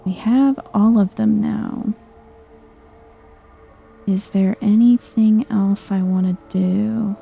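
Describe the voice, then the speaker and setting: A soft-spoken woman giving a chill, quiet ASMR-style commentary as she plays a video game. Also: medium pitch, fairly even intonation, slow pace, vocal fry, pauses often, breathy edge, lo-fi sound, faint music behind. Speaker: gaming video host.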